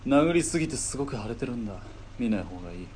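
An actor plays a calm, watchful man on a covert mission in a radio play. His mid-pitched voice delivers terse, measured tactical lines. Faint vehicle ambience sits behind him. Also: slight background noise, natural voice. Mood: composed. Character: composed, wary military-trained sniper's partner on covert mission. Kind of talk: Japanese radio drama acting.

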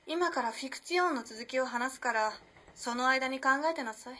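Radio drama modern girl, played by a woman: mysterious and composed, playfully superior, high and bright, faint noisy ambience. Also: moderate pace. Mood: teasing. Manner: teasing, composed, old-fashioned feminine speech. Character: teasing, mysterious 1910s modern girl, self-possessed young woman. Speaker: actor in amateur radio drama. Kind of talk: Japanese radio drama acting, storytelling.

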